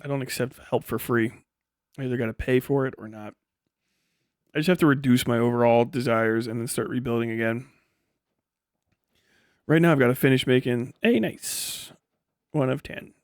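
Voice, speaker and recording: Male streamer, mid-pitched, blunt and foul-mouthed, casual chat delivery, close dry mic. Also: normal speed, pauses often, no room sound. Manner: blunt, heavily profane. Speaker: livestream host and commentator. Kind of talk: casual livestream chat answering viewer messages.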